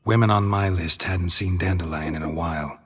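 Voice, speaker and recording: An actor playing a gruff, world-weary monster hunter in a fantasy game, gravelly and husky, speaking in clipped, deadpan lines. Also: male voice, sits low, a little fast. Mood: dry, matter-of-fact. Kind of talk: fantasy video game dialogue.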